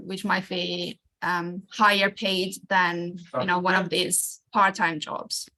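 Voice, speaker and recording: A woman's voice: mid-range voice, pushed and bright, poor audio. A woman presenting an informational webinar over a video call, explaining things with frequent restarts and fillers.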